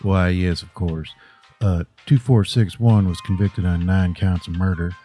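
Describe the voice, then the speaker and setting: Man as a deep, dark-voiced brusque prison warden. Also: fairly even intonation, measured pace, faint music behind. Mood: businesslike. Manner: dry, procedural, authoritative. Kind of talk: horror audio drama acting.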